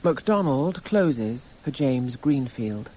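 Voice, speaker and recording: An actor narrating a courtroom radio drama in a sober, scripted documentary style. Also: male voice, medium pitch, expressive, slow, dark tone. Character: sober male narrator announcing stages of a trial. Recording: muffled, hissy radio drama recording.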